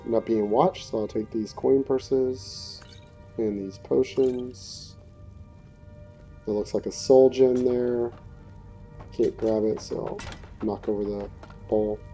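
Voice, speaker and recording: Mellow low-voiced man, muffled recording. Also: steady tone, slow, pauses often. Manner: hesitant, low-key, conversational. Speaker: gaming video creator. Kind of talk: casual let's play commentary. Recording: dull muffled audio, faint music behind. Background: faint game music.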